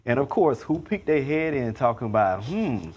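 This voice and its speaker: Male contestant, average pitch, informal and slangy, casual pop culture chat. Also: sing-song, normal speed, darkish tone, slightly rough, dull audio. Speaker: game show contestant and commentator.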